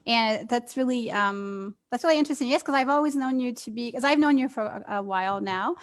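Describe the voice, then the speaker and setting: A woman's voice: on the high side, brisk. A woman co-hosting an interview, encouraging her guest and using some fillers. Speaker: interview co-host.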